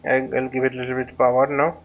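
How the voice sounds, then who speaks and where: A man giving an unscripted flight simulator tutorial, thinking aloud haltingly and often muttering to himself. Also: mid-range voice, little pitch movement, dull audio. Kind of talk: unscripted tutorial commentary while flying a simulator.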